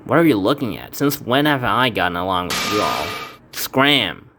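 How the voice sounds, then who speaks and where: A man's voice: fairly low pitch, big pitch swings, moderate pace, noisy background. A man reading a fan game aloud, voicing its characters with casual asides and stumbles. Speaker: let's play fan dubber. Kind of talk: casual game playthrough with voiced dialogue.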